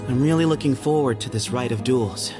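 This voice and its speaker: An actor playing a proud, dutiful temple leader in a video game, speaking formally and deliberately. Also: male voice, mid-range voice, lightly acted. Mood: eager. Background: game music.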